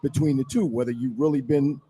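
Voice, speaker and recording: A man's voice: mid-range voice, fairly even intonation, brisk, warm tone, slightly rough. An older man, a former player, talking casually as a sports show panelist.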